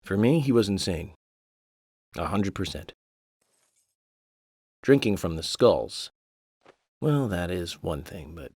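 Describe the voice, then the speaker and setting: A man playing an eccentric, polite FBI agent in a video game, speaking in a measured, deadpan, blithely morbid way. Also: low voice, husky, no echo, studio quality, heavily acted. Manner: deadpan, measured, matter-of-fact about grisly things. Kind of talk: video game cutscene acting.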